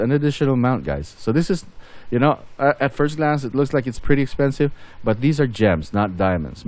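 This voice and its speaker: A man's voice: on the low side, a little mellow, dry recording. A man giving casual game update commentary as a channel host, rambling and thinking aloud as he goes.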